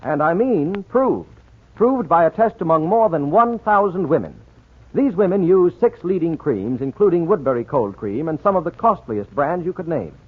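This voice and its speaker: A man's voice: on the high side, big pitch swings, moderate pace, phone-quality sound. A man with an American accent reading scripted narration as a 1940s radio announcer, polished and emphatic. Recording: thin 1940s radio recording, music behind.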